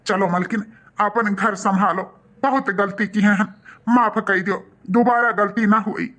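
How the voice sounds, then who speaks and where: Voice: mid-range voice, a little slow, a bit bright, lightly acted. A voice actor playing a remorseful village husband and son in a cartoon, earnest and humbly pleading, with an Awadhi accent. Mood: pleading, apologetic.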